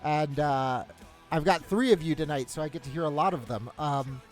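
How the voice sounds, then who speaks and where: A man's voice: on the high side, moderate pace, faint music behind. A man hosting a casual interview show, rambling with many fillers and restarts. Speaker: podcast host.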